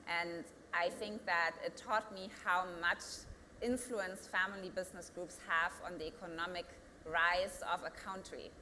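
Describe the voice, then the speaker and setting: A woman's voice: fairly low pitch, fairly even intonation, unhurried, pushed and bright, in a large hall, a little hiss or hum. A woman in her thirties with a German accent, a company founder answering interview questions earnestly and rising to emphatic peaks. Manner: earnest, builds to emphatic peaks, thinks aloud with restarts.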